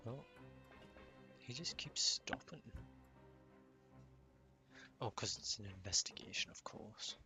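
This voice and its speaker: A man's voice: lowish voice, animated, slow pace, gravelly, long pauses, pushed and bright. A man giving casual, muttered commentary as he plays a video game. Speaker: gaming streamer. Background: game music and clicking.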